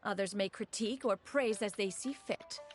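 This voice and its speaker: A woman's voice: medium pitch, normal speed, faint music behind, natural voice. A woman voicing an ancient, proud female crane spirit in a game cutscene, speaking in an archaic, formal way and calling herself "one". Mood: lofty.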